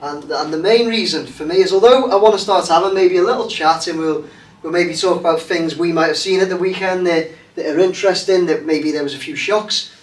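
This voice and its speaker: A man's voice: high-pitched, fairly quick. A man hosting a casual football chat show, rambling and hesitant with lots of fillers. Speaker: host. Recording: roomy, distant mic, faint hiss.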